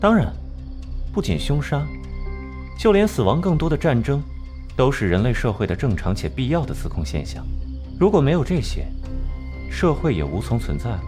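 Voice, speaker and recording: An actor voicing a cold, aloof genius detective in an animated drama, lecturing with mocking detachment. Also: male voice, mid-range voice, moderate pace, long pauses, natural voice. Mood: cold, dismissive. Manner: mocking, lecturing, coolly rational. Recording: music behind the voice.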